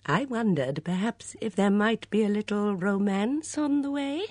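A woman reading aloud voices a gentle, shrewd elderly spinster sleuth, speaking softly and in measured phrases. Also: on the high side, wildly swooping pitch, husky, no room sound, studio quality, voice pushed up, exaggerated voice. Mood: probing.

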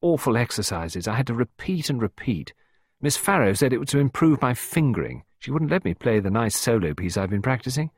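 A man's voice: mid-range voice, fairly quick, breathy edge. A man voicing a twelve-year-old schoolgirl in an audiobook, blunt, cheerful and always hungry, grumbling or pleading. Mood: laconic, grumbling. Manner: laconic grumbling, pleading, excitable. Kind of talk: audiobook character voice.

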